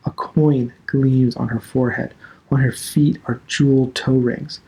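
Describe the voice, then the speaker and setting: Gravelly man reading literary prose. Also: medium pitch, steady tone, unhurried, mellow, poor audio. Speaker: literature instructor reading aloud. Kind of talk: dramatic literary narration.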